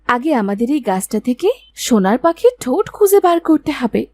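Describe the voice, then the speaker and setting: A resolute young girl from a cartoon fairy tale, acted by a woman. She is earnest and expressive, with a high, swinging pitch and a soft, mellow tone. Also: moderate pace.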